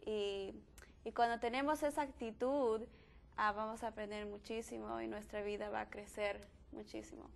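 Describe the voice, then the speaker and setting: Lady on a church panel, a bit high-pitched, earnest, stutters a little on starts. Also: fairly even intonation, normal speed, vocal fry, a little hiss or hum. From El Salvador. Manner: earnest, repeats words as she starts. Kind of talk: panel discussion.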